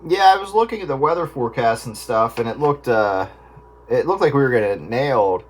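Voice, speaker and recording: A man's voice: medium pitch, expressive, fairly quick, rough recording. A man having a casual, unscripted chat over the radio.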